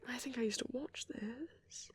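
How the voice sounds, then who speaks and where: A performer voicing a shy, gentle young vampire boyfriend in an ASMR roleplay, with a soft, hesitant, often whispered delivery. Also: mid-range voice, slight background noise, lightly acted. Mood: fondly nostalgic. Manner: hesitant, halting, murmured musing.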